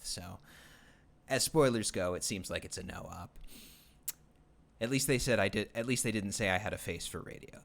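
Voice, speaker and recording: Man, very low voice, streamer host, casual rambling chat, lots of ums and restarts, dry self-deprecating. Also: fairly bright tone. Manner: rambling, halting, many fillers and restarts. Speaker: livestream host.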